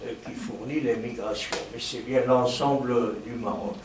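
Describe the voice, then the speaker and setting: A man asking formal, measured questions of a speaker after a lecture in an auditorium. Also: mid-range voice, fairly even intonation. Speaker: questioner at a debate. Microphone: distant. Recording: echoey hall, distant mic, faint noise.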